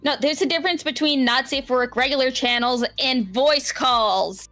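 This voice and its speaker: Blunt, higher-pitched woman, dry close sound. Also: normal speed, no room sound. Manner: blunt, exasperated, heavily swearing. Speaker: podcast co-host. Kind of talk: casual chat. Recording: soft background music.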